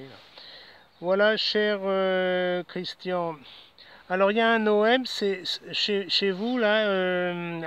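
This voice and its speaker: A man in his seventies chatting casually over amateur radio with call signs, in a halting, courteous way. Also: high-pitched, a bit bright, clean tone. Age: 70s. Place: outdoors in a city park. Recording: dull lo-fi audio.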